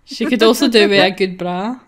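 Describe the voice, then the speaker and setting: Woman, gaming host, mid-pitched voice, casual playful story reading, giggly, self-mocking. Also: brisk, a crowd in the background. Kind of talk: casual playful read-aloud of a visual novel.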